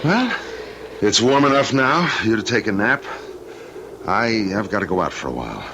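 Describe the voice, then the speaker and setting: A man acting an anxious, impatient husband in a vintage radio drama, speaking haltingly and repeating his words. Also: on the low side, big pitch swings. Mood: brisk, reassuring. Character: anxious, impatient husband looking after his scared wife. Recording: noisy old radio drama recording.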